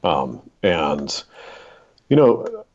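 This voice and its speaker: Very deep, gravelly-voiced man. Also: wildly swooping pitch, extremely slow, a little mellow, lo-fi sound. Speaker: guest author. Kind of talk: literary discussion and storytelling.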